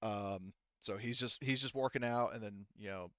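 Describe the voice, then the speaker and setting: Clear-voiced man, halting game master. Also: on the low side, fairly bright tone, no echo, dull audio. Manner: halting, rambling, self-correcting, casual. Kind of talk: improvised tabletop game narration.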